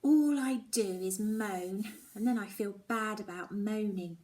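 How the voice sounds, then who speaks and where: A woman reading a children's novel aloud in the first person, with expressive, dramatic emphasis. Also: mid-range voice, unhurried. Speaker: storybook reader.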